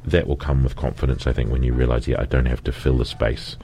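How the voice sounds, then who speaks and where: Male singer, extremely low voice, thoughtful, relaxed interview guest. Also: quick pace. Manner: reflective, laughs easily. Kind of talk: conversational interview.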